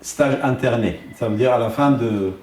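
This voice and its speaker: A man's voice: mid-range voice, normal speed, darkish tone, poor audio. Older Iranian-born man, doctor explaining his work. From Iran. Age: 60s or older. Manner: didactic, asks and answers his own questions. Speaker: ophthalmologist. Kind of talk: explanatory interview talk, presenting his work and equipment.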